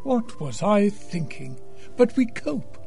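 High-voiced, earnest, unhurried priest. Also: male voice, sing-song, gravelly, darkish tone, faint music behind, heavily acted. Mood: earnest, admonishing. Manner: measured, earnest, counseling. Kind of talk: audio drama acting.

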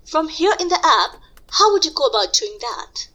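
An actress playing a polite, encouraging young professional who guides someone through a software test. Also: female voice, high-pitched, big pitch swings, normal speed, full character voice. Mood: patient, guiding. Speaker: user test moderator. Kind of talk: audio drama dialogue.

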